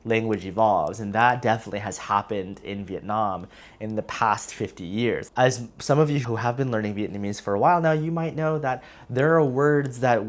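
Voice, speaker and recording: A man's voice: fairly low pitch, moderate pace. A man from the United States with an American accent, talking casually and reflectively to viewers, explaining with hedges and emphasis on key words. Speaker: vlogger, heritage language learner.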